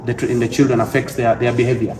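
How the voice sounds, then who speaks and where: A man's voice: medium pitch, level tone, quick pace, noisy background. Ugandan man interviewing in an echoey room. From Eastern Uganda. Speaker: devotional show host. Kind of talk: conversational interview.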